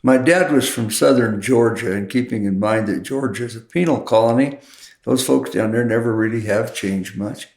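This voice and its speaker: Gravelly Colorado horseman telling stories. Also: mid-range voice, moderate pace, darkish tone, roomy sound. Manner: dry humor, rural Western turns of phrase. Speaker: guest, horseman and poet. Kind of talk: casual storytelling.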